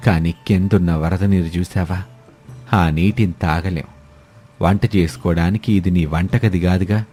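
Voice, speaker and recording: A stern village elder, played by a man in a cartoon fable. He has a very deep, dark voice and scolds deliberately over background music. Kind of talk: scripted moral-story cartoon dubbing.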